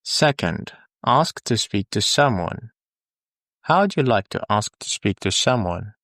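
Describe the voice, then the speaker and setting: A man who is a native Arabic speaker, teaching English in a scripted, deliberate, instructional lesson style. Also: fairly low pitch, sing-song, fairly bright tone, no echo, clean studio sound. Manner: deliberate, instructional, with pauses for the learner. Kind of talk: scripted language lesson, repeat-after-me drills.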